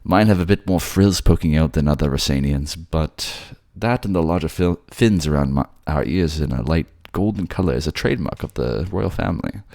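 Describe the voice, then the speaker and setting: Man playing a suave, deep-voiced merman king. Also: moderate pace, vocal fry, few pauses, no room sound, clean studio sound. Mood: cheerful, fond. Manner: courtly, gently teasing, indulgent. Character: suave, doting young merman king with courtly charm. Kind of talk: romantic audio roleplay acting.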